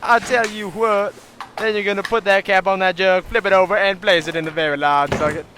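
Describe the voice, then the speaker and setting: A man's voice: high-pitched, fairly even intonation, brisk. A man giving comic instructional narration in a folksy, theatrical, emphatic way, with noise behind him. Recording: noisy background hiss.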